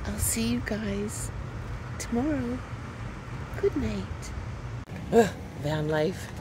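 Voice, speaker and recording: A woman talking casually to a handheld phone camera from inside a small camper van, chatty and relaxed with easy laughs. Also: medium pitch, drawn-out words, background noise. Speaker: van life vlogger. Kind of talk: casual vlog narration.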